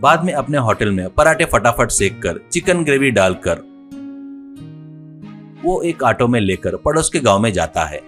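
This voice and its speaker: A man's voice: mid-range voice, sing-song, normal speed, fairly bright tone, faint music behind. A man telling a scripted folk-style moral story as narrator.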